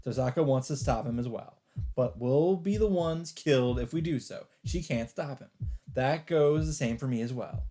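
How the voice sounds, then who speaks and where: A man reading a visual novel aloud as a let's player, earnest and expressive, mixing dramatic narration with casual commentary. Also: mid-range voice, normal speed. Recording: background music under the voice. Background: game music.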